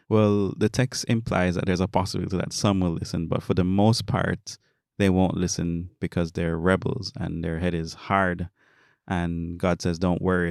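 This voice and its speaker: A man's voice: sits low, gravelly, a little mellow, dry recording, studio quality. A man giving informal commentary on scripture in an earnest, explanatory way. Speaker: Bible study commentator.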